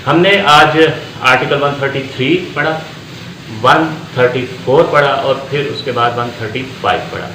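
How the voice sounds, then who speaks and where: A Pakistani-accented man lectures in a mid-pitched, forceful, bright voice. He explains deliberately, repeating phrases for emphasis and slipping into English terms. The room is echoey around him. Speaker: law lecturer. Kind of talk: explanatory law lecture mixing Urdu and English. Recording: echoey room with faint background noise.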